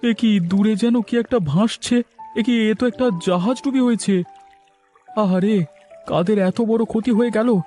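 A man's voice: sits high, measured pace, mellow, soft background music. A man voicing a kind-hearted, pious poor villager in a cartoon, exclaiming with pity. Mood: shocked, pitying. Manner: exclamatory, pitying. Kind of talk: cartoon voice acting.

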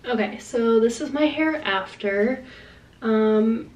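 A woman's voice: fairly high pitch, slow, darkish tone, far from the mic. A young woman in her twenties with a Long Island accent, chatting casually to camera at home, rambling with lots of "like" fillers. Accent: Long Island American. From Long Island, USA. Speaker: vlogger. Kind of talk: casual vlog chat. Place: small indoor room, then home kitchen.

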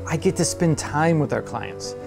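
Higher-voiced man presenting a DIY build. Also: expressive, normal speed, creaky, music in the background. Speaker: host of an electronics build channel. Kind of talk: scripted presenting to camera, explaining a DIY build. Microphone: lapel microphone.

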